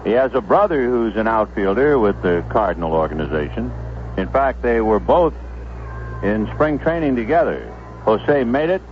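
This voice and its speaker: A man doing live radio baseball play-by-play, describing the action in measured phrases with pauses between them. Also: on the low side, animated. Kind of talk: live radio sports commentary. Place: stadium broadcast booth. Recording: muffled 1970s AM radio broadcast, background noise.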